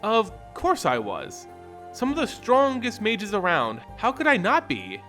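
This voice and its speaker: A man reads as a wary werewolf woman leading heroes in a fantasy tale. She speaks earnestly and with care, in a bright, expressive voice. Music plays faintly underneath. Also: high voice, a little slow, voice pushed up, heavily acted. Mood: defensive. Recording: voice over background music.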